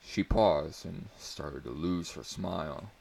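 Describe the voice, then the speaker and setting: Deep, gravelly male story narrator. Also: slow pace, a little mellow. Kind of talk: dramatic audiobook-style narration.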